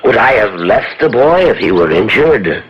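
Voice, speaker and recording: A man's voice: lowish voice, very animated, normal speed. A man playing an urbane, touchy professor with a precise, condescending manner in a radio drama. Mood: defensive, indignant. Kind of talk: 1970s radio drama acting. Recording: dull, lightly noisy old radio recording.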